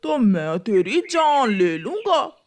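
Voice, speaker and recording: A woman's voice: a little high, very animated, slow pace, darkish tone. A woman voicing a protective, devoted father crow in an Urdu cartoon, emphatic and emotional. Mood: fierce, threatening.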